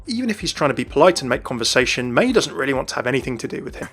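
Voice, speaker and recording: A male narrator delivers game lore commentary at a brisk clip. His voice sits at a middle pitch, with music playing underneath. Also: very animated, a bit bright. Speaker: video narrator.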